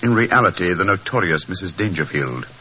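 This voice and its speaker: An actor playing a shrewd, self-possessed gentleman detective with a British accent, speaking briskly and precisely in 1940s radio drama style. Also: low-pitched, expressive. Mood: confiding, calculating. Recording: muffled, noisy vintage radio recording.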